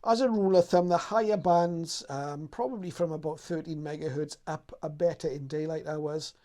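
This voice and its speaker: A man's voice: a little high, fairly even intonation, moderate pace, dark tone. A man hosting a radio how-to video, talking in a casual, unscripted and explanatory way.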